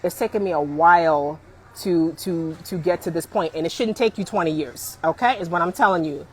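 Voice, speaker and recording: A woman in her forties giving career advice on a live stream, emphatic and animated, often calling out to viewers and laughing. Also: on the low side, moderate pace, a little hiss or hum. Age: 40s.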